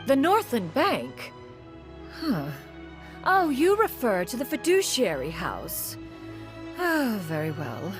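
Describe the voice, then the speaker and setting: A woman's voice: a little high, huge pitch swings, slow pace, pauses often, soft background music, exaggerated voice. A woman playing a dignified, proud immortal in a video game, speaking in formal, archaic phrases with a haughty manner. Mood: puzzled. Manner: formal, archaic, haughty, calls herself "one".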